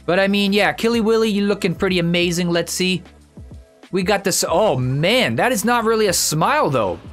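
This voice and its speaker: High-voiced man doing chatty game commentary. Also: expressive, normal speed, fairly bright tone, soft background music. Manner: upbeat greetings, chatty, addresses viewers directly. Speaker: gaming video host. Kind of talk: casual gameplay commentary.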